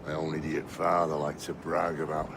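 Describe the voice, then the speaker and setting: An actor playing a gruff, foul-mouthed, bitter pirate captain in a video game, blunt and coarse. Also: male voice, extremely low pitch, flat delivery, normal speed, background noise. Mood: bitter, mournful. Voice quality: gravelly. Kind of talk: video game character acting.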